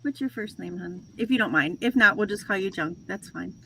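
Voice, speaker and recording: A woman's voice: mid-range voice, lively intonation, fairly quick, vocal fry, pushed and bright, breathy edge, poor audio. Chatty woman in her forties, laughing. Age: 40s. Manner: chatty, self-deprecating, laughs often. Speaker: host of a reseller livestream. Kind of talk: casual chat with lots of laughing.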